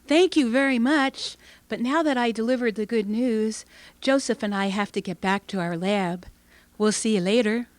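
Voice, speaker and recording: A woman's voice: on the high side, normal speed, slight background noise, natural voice. A woman playing an eager, cheerful lab assistant in an old-time radio drama. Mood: pleased. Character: eager, cheerful young lady lab assistant, newly engaged. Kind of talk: Golden Age radio drama acting.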